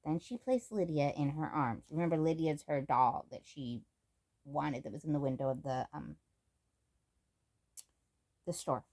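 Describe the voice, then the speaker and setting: A woman reading a children's storybook aloud as an expressive narrator. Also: sits low, measured pace, long pauses. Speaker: storybook reader.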